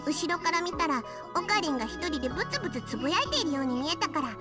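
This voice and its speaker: A bubbly anime maid girl with a tiny, extremely high voice. She chatters very fast and playfully, tacking cat sounds onto her sentences. Loud jingly game music plays behind her. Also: expressive. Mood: concerned, friendly. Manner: cutesy, ends sentences with cat-like "nya". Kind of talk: Japanese visual novel anime voice acting.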